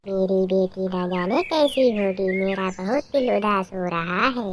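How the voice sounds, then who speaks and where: A woman voicing a gentle, worried female bird in a cartoon, politely and plaintively. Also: mid-range voice, a little slow, natural voice. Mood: worried, tender. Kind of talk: Hindi cartoon dubbing. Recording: noisy track with birds chirping.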